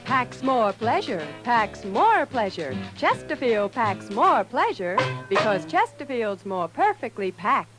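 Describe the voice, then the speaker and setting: A woman's voice: on the high side, big pitch swings, slow pace, few pauses, loud music behind the voice, poor audio. A woman singing a country-style advertising jingle from 1950s radio. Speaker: jingle singer.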